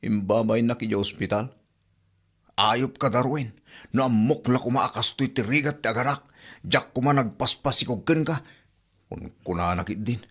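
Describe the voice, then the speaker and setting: A man's voice: mid-range voice, normal speed, fairly bright tone, breathy edge. A man with an Ilocano accent playing a flustered, defensive young husband in a comedy radio drama. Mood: sulky.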